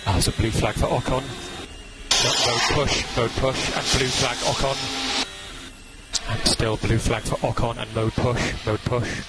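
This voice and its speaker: A man working as a race engineer, giving brisk, clipped updates over team radio. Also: medium pitch, steady tone, heavy background noise. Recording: radio over loud music.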